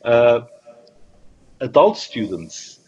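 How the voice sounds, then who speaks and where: A man's voice: mid-range voice, very animated, drawn-out words, mellow. Male teacher on a panel, earnest and repetitive, muffled online sound. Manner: earnest, repeats words as he thinks. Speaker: university English teacher. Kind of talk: panel discussion remarks.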